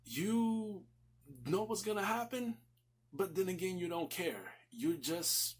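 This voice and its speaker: A man's voice: high-pitched, slow. A man reviewing a series in a casual, sweary, emphatic way, rambling and repeating himself for stress. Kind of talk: casual, profanity-laced review commentary.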